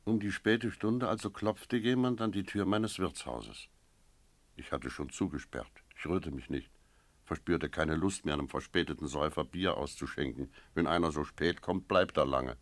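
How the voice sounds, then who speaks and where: An actor playing a wary village innkeeper in a German radio drama, telling his story in a measured, brooding way. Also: rumbling low, dry recording. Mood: brooding, reflective. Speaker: narrator and character in a radio play.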